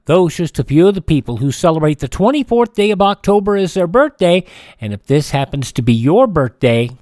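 A man hosting a radio show in a casual, conversational way, with deliberate phrasing and pauses. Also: fairly high pitch, very animated, a little mellow, dry recording, studio quality. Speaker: radio host. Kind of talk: scripted but casual radio show presenting. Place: dry radio studio.

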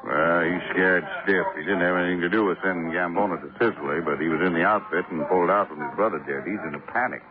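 Male actor for plain-spoken police lieutenant, 1950s radio drama. Low pitch, conversational, easygoing, thin vintage recording. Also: quick pace, hardly any pauses, narrow, tinny audio, lightly acted.

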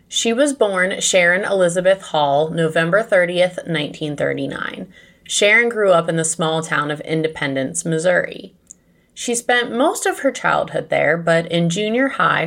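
A woman's voice: on the low side, animated, moderate pace, gravelly, a bit bright. An American woman hosting a podcast, narrating true crime in a conversational, scripted style. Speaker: podcast host.

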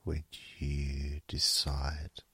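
A man hosting a relaxation hypnosis session in a soothing, hushed way, leaving long pauses. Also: a bass voice, monotone, husky, dry recording. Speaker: hypnosis podcast host.

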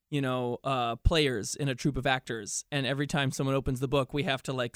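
A man's voice: medium pitch, no room sound, studio quality. A man, an actor, chatting casually as an interview guest.

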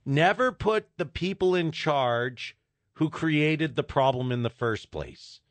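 High, lively talk-radio host ranting. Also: male voice, slow pace, dry recording. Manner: animated, incredulous ranting. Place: radio studio. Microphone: studio microphone.

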